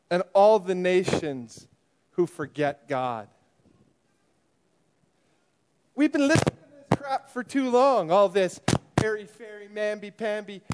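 Sketch role: a scolding fire-and-brimstone preacher, played by a man, high-pitched and wildly animated delivery. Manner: preachy, scolding, swings to shouting. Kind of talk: church comedy sketch.

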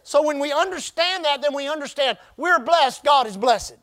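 Pastor, male, very high voice. Emphatic sermon delivery with call-and-response. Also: fairly bright tone. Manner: call-and-response preaching, emphatic drawn-out phrases.

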